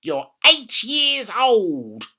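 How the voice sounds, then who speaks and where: A man voicing a nasty, bossy old grandmother from a children's storybook, scolding and snapping with drawn-out emphasis. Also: very high-pitched, huge pitch swings, bright, forceful tone, pitched above the performer's own voice, exaggerated voice. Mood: scornful.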